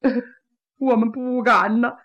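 A woman voicing timid, sympathetic male foot soldiers who speak low and hesitantly. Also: fairly even intonation, measured pace, muffled recording. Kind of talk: traditional Chinese storytelling.

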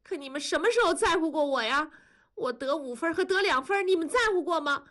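A sullen young woman in an audiobook family drama, acted by a female narrator, high in pitch and swinging widely. She is sarcastic and defiant, throwing bitter accusations at her parents. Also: animated, fairly quick, fairly bright tone. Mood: sobbing, accusing. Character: sullen, bitter 21-year-old daughter lashing out at parents.

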